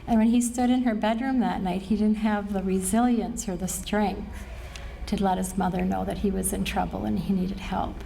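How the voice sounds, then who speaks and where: A middle-aged woman with an American accent giving an earnest, measured training presentation to a group as a presenter. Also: medium pitch, fairly even intonation, vocal fry, dark tone, a little hiss or hum, poor audio. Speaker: suicide prevention trainer and presenter. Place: presentation room at a care facility.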